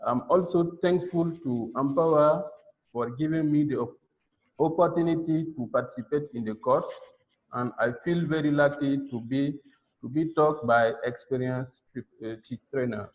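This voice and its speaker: A man's voice: mid-range voice, normal speed. Man; hesitant graduation thanks over dull, lo-fi sound. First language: French. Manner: hesitant, with frequent fillers. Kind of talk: graduation thank-you speech. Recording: dull lo-fi audio.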